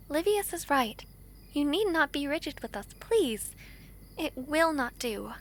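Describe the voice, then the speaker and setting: A woman playing a gentle, affectionate princess in a romantic fantasy audio drama, with formal, tender, courtly phrasing. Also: sits high, a little hiss or hum. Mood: gracious. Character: gentle, affectionate foreign princess, gracious and wistful.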